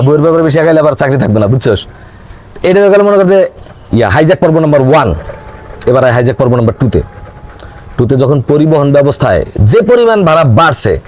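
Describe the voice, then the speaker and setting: An actor plays a hard-up city office worker with a Bangladeshi accent, pitched fairly high for a man. He tells his money woes in exasperated, colloquial comic-drama fashion, swinging widely up and down. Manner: animated grumbling storyteller, colloquial and exasperated. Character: broke, aggrieved city office worker begging friend for money. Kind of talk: scripted comic drama dialogue.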